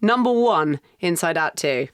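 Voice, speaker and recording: A middle-aged woman co-hosting a podcast, telling anecdotes and running through lists in a lively way. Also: deep voice, moderate pace, clean tone, no room sound, clean studio sound. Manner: lively storytelling, lists and asides. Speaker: podcast co-host. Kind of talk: casual chat.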